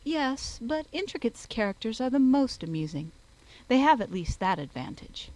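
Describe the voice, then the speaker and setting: A woman's voice: a little high, sing-song, normal speed, darkish tone, voice pushed up, poor audio. A woman voicing a witty, playful, self-assured young lady in a period novel audiobook. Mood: playful, confident.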